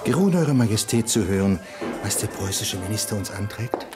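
A man's voice: fairly low pitch, expressive, moderate pace, over music, lightly acted. An actor in a German radio play playing a patient courtier who counsels his king in a formal, insinuating manner. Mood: persuasive.

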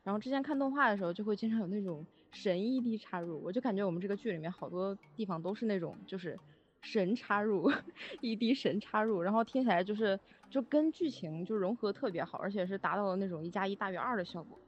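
A woman's voice: mid-range voice, fast, muffled recording. A woman in casual conversation, speaking modestly and hesitantly, often repeating her words as she searches for them. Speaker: music arranger for an audio drama. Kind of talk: casual chat.

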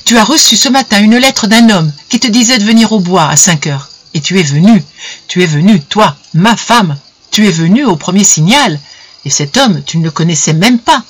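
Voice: mid-range voice, big pitch swings, fairly quick, hardly any pauses, fairly bright tone, husky. A narrator voicing a jealous, enraged husband in a story, hectoring and stammering as he accuses and repeats himself. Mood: hateful, accusing. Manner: hectoring, stammering, insistent repetition.

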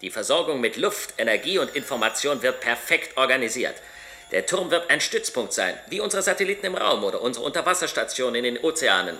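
A man's voice: mid-range voice, sing-song, a little fast, pushed and bright. An actor playing an optimistic, eloquent male tactician and loyal friend in a radio play, speaking persuasively. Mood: persuasive, enthusiastic. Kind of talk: German radio play acting.

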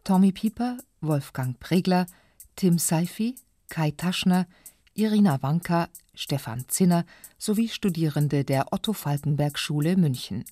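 A woman announcer calmly reading the credits of a radio play. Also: on the low side, a little slow, dry recording, clean studio sound. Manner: calm, even credit reading.

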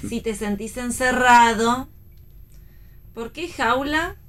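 High-voiced, testy young Argentine wife. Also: female voice, takes it slowly, fairly bright tone. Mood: dry, teasing. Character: testy, dry-witted pregnant young wife and daughter. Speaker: actress in a read-theatre radio play. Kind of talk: dramatic play reading.

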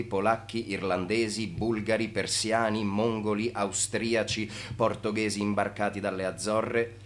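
Low, clipped male narrator. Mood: factual, clipped. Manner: clipped, enumerating. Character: grim male narrator, listing harsh details relentlessly. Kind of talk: theatrical scripted narration.

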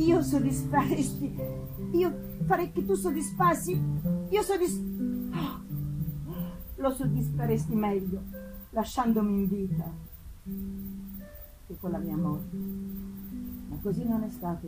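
A woman voicing a remorseful, anguished male monster resigned to death, in a grave, deliberate, solemn dramatic reading. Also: fairly high pitch, rough recording. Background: live plucked guitar music.

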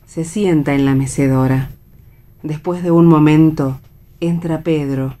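A woman's voice: sits low, fairly even intonation, measured pace, dark tone. A woman with an Argentine accent reading stage directions as scripted narration for radio theatre.

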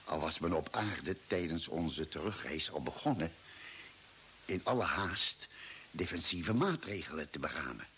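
An actor playing a grave expedition member, narrating a space voyage in a radio play with measured, solemn storytelling. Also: male voice, a bass voice, animated, pushed and bright, a little hiss or hum, full character voice. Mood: solemn, ominous. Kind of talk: scripted dramatic narration.

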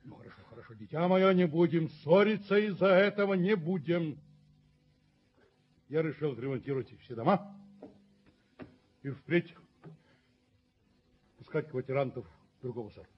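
Radio play male actor: self-justifying rich father, lecturing and firm, husky voice, dull vintage recording. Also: fairly high pitch, moderate pace, long pauses, lightly acted. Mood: conciliatory, resolved. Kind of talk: Soviet radio play acting.

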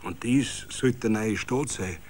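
A man's voice: on the low side, a little slow. A man voicing a shaken village mayor with a Bavarian accent, stammering and toneless with bitter despair in a radio story. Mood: stunned bitterness.

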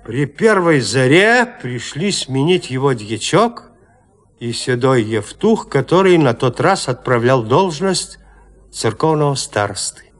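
A man narrating a classic horror tale in an audio play, with theatrical, expressive delivery. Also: medium pitch, measured pace.

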